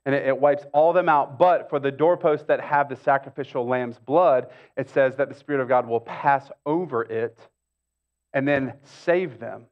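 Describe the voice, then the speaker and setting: A man's voice: mid-range voice, normal speed, lo-fi sound. A middle-aged American man, a pastor, giving a conversational sermon with casual asides and repeated phrases for emphasis. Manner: casual, with repetition and rhetorical asides. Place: stage of a church auditorium.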